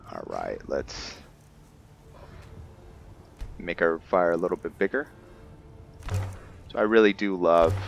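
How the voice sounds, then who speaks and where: A man giving casual commentary as he plays a roleplaying game, thinking aloud in a halting way. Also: extremely low pitch, a bit bright. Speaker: gameplay host.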